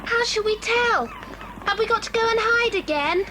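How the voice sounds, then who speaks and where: A woman's voice: very high voice, normal speed. A woman playing a timid, anxious little British girl in a children's adventure audio drama. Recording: noisy old cassette recording.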